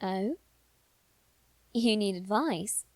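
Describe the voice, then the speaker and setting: A woman voicing an anxious aristocratic boy in a scripted audio roleplay, speaking formally and haltingly with dramatic pauses. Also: mid-range voice, lively intonation, dry recording. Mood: hesitant, curious. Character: anxious aristocratic boy lord bound to demons. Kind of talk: scripted ASMR roleplay addressed to the listener.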